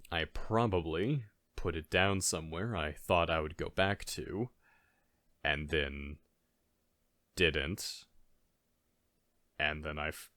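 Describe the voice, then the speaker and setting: Guy acting as ur caring goofy best friend, asmr roleplay, soft spoken, slow, super close dry sound, gently chiding. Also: a bass voice, no room sound, exaggerated voice. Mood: sheepish, rueful. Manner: halting, conversational, gently chiding.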